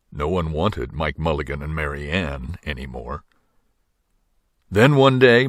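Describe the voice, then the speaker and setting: A man's voice: low-pitched, no echo, clean studio sound. An older man reading a children's story aloud as a narrator. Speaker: storybook reader.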